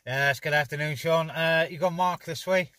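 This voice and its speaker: A man's voice: a little high, normal speed, sharp tone, no room sound. A man chatting casually as he runs a CB radio net from inside a car. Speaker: CB radio operator hosting a net.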